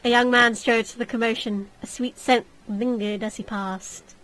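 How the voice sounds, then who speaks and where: A woman reading a visual novel aloud as expressive story narration. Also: on the high side, normal speed, poor audio.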